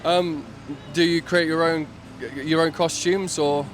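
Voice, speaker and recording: A man with a fairly high voice interviewing guests at a convention. He asks short questions and thanks everyone politely. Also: little pitch movement, unhurried, soft background music. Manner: brief, polite, repetitive thanks. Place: busy convention hall.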